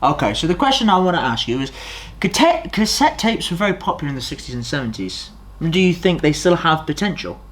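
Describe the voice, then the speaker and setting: A man's voice: on the high side, big pitch swings, brisk, few pauses, fairly bright tone. A young man presenting a news-style history video in an enthusiastic, informal, exclamatory way. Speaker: student presenter. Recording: music behind the voice.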